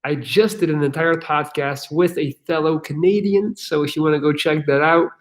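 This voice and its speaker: A man hosting a casual livestream Q&A, answering viewer questions in an energetic, profane way with many fillers. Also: a little high, normal speed.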